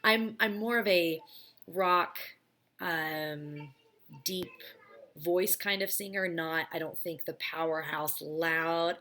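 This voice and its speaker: A woman in her thirties with a raspy, low voice, chatting casually at home about her life and answering viewer questions with many ums. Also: slow pace, bright, forceful tone. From United States. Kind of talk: casual chat. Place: home, child heard playing in background.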